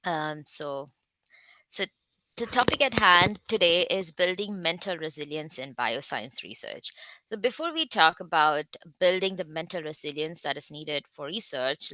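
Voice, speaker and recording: A woman in her thirties from India with an Indian accent, giving an online guest talk with slides and often pausing on fillers. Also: low voice, expressive, pushed and bright, no room sound, low-quality recording. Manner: careful, with frequent hesitant fillers. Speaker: guest speaker, research scientist.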